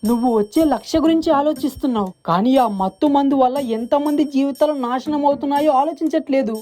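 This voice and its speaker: An earnest young village boy played by a woman speaks in a high voice with music behind. He is honest and pleading, a poor fisher's son from an animated moral story. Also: quick pace, natural voice. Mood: worried pleading.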